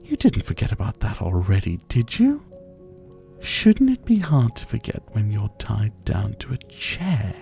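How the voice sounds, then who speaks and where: A man playing a suave, possessive vampire who was once a doctor, speaking to the listener in a theatrical, softly menacing ASMR roleplay. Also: lowish voice, sing-song, unhurried, creaky, faint music behind, full character voice. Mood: teasing, controlling. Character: older male vampire captor, former doctor, suave, possessive.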